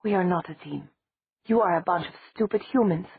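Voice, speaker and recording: Low, husky woman doing a brooding young vampire man, curt and contemptuous, tinny dry read. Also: normal speed, no echo, heavily acted. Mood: furious. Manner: cold, clipped and scornful. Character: brooding young male vampire, cold and furious. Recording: dry, tinny phone-like sound.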